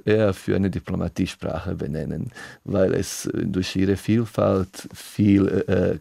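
A man answers questions in an interview, pausing often and restarting his sentences. His voice sits very low and comes out husky and dark. The sound is close and dry. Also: dry recording. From Bosnia and Herzegovina. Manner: hesitant, frequent "äh" fillers and restarts. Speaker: association treasurer.

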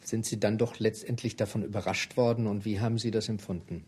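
Male interviewer, fairly deep and husky, measured, courteous, formal delivery of prepared questions. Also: steady tone, darkish tone. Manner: measured, polite, formal address.

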